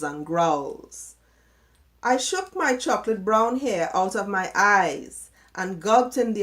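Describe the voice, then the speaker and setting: Slow-paced woman reading a story aloud. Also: medium pitch. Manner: measured, dramatic storytelling delivery. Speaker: story reader. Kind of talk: expressive read-aloud of a first-person children's story.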